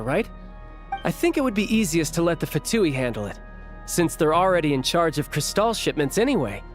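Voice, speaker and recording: A man's voice: fairly high pitch, close to an everyday voice. A man playing a confident young man in a video game, speaking in a casual, self-assured and persuasive way.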